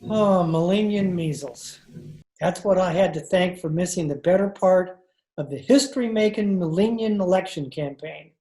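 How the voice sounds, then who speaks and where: A woman's voice: lowish voice, moderate pace, dark tone, reverberant, faint music behind, natural voice, low-quality recording. A woman playing a wry male adventurer and con man in an old-time radio drama, recorded over a video call.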